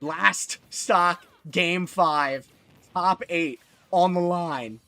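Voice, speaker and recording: A man giving solo live commentary on a fighting game tournament, excitable and jumping to high shouts at big moments, his voice a little worn, from a small closet. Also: very slow, no room sound, faint music behind. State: losing his voice. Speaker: esports commentator.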